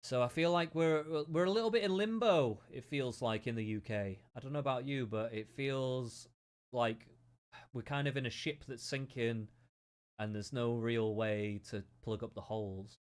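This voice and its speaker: A man's voice: medium pitch, moderate pace. A British man hosting a podcast, chatting in a rambling, opinionated, stop-start way. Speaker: podcast host. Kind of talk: casual chat.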